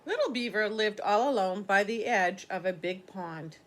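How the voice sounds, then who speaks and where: A woman's voice: medium pitch, lively intonation, normal speed. An older woman reading a children's story aloud, explaining things simply as a teacher would to young listeners.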